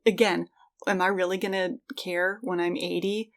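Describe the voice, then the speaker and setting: A middle-aged woman speaks as a podcast co-host in relaxed conversation. Her voice is a little low for a woman, and her delivery is candid and reflective. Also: normal speed. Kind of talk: casual conversational podcast chat.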